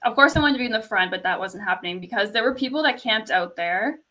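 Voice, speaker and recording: A young woman from Canada with a fairly quiet speaking voice, telling a story in casual chat with lots of "like" and laughter. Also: mid-range voice, expressive, fast talker. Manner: storytelling, laughs often, says "like" a lot.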